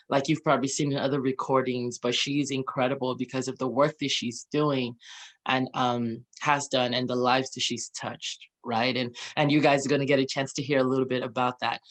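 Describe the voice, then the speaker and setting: Interview host, male, informal and chatty, mid-pitch voice, leans on "right?" a lot. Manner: chatty, many ums and tag questions. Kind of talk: friendly interview introduction.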